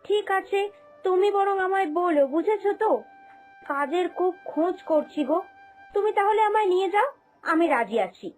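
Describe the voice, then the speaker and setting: A woman's voice: very high voice, animated, moderate pace, darkish tone. A woman voicing a humble, honest young village woman in a cartoon, speaking earnestly and politely. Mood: eager, pleading. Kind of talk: Bengali cartoon fairy-tale voice acting. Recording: music under the voice.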